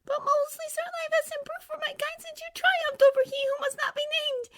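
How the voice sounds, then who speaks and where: A woman voicing a small, tearful male house elf servant in a squeaky, whispery falsetto, sobbing and pleading. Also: level tone, brisk, fairly bright tone.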